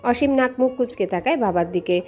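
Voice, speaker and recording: A woman's voice: on the high side, expressive, fairly quick, a little mellow. A woman reading a scripted romantic story as a dramatic narrator, with music behind her. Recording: music under the voice. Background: background music.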